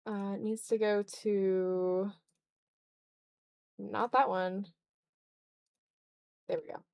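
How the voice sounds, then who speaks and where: A woman's voice: mid-range voice, little pitch movement, very slow, creaky, clean tone, dull audio. A woman streamer chatting informally on a livestream while she draws, often muttering to herself as she works. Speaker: streamer hosting an art stream. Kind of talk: casual livestream chat while drawing.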